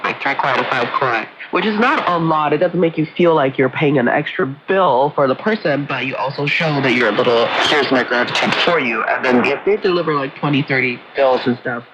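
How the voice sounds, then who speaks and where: A woman's voice: very deep voice, very noisy. A woman co-hosting a radio talk show in a conversational style, heard over muffled shortwave reception. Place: radio broadcast picked up on shortwave receiver.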